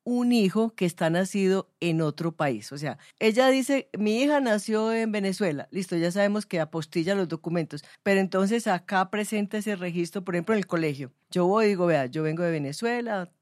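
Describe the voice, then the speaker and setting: A woman's voice: medium pitch, animated, brisk, hardly any pauses, darkish tone, no echo, clean studio sound. A woman, hosting an interview, recapping points and speaking directly to listeners in a conversational way. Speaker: host.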